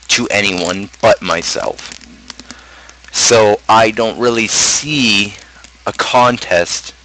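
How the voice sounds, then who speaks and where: A man's voice: lowish voice, fairly bright tone, low-quality recording. A man streamer talking casually about his own song lyrics, rambling and thinking aloud as he goes. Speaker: streamer host and amateur rapper.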